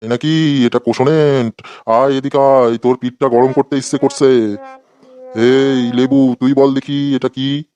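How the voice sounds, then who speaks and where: A man's voice: mid-range voice, fairly even intonation, a little slow, mellow, lo-fi sound, faint music behind, lightly acted. A man playing an irritable, sarcastic schoolteacher who threatens his pupils in a comedy cartoon. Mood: stern, mocking. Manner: scolding, sarcastic. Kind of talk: exaggerated comic cartoon dialogue.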